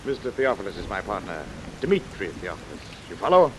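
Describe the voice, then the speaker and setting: An actor playing a pompous, long-winded importer in a florid, precise, emphatic 1940s radio detective style. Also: male voice, low voice, big pitch swings, a little fast. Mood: brisk, formal. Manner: florid, precise, emphatic, repeats a pet question. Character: pompous, long-winded male antiquities importer, droll and insistent. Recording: hissy vintage radio, rain noise.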